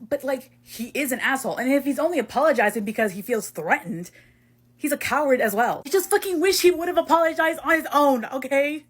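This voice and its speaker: A woman playing a proud, wounded young man in an amateur audio drama, blunt, emphatic and sweary, with a husky voice. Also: fairly high pitch, a little fast. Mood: upset, frustrated.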